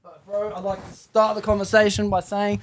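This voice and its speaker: A man's voice: high-pitched, little pitch movement, a little fast. A young man hosting a podcast, chatty and laughing often, calling his guest "bro". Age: young adult. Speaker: podcast host. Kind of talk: casual interview chat.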